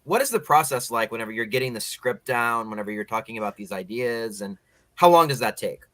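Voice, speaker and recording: A man's voice: mid-range voice, animated, brisk, a bit bright, no room sound. A man hosting a podcast, chatting casually with guests in a rambling, conversational way. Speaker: podcast host interviewer. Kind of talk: casual interview chat.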